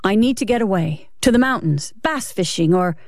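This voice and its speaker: A woman's voice: mid-range voice, lively intonation, normal speed, dry recording, clean studio sound, natural voice. Casting: woman playing a nervous husband in an audiobook, guilty and placating, choosing words carefully and faltering. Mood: careful, forced calm. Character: nervous, evasive husband lying to his suspicious wife.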